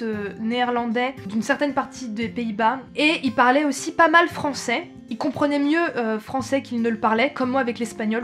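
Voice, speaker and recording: Young French woman vlogger, chatty and hesitant, slightly high voice, faint music underneath. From France. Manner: chatty, self-correcting, frequent hesitations. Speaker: travel vlogger. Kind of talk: casual chat to camera.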